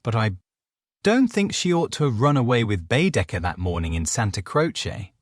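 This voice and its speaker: A man's voice: medium pitch, very animated, moderate pace, no room sound, studio quality. A man voicing a naive, dreamy young Edwardian lady in a storybook, speaking politely and earnestly. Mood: mildly annoyed. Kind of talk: audiobook character dialogue.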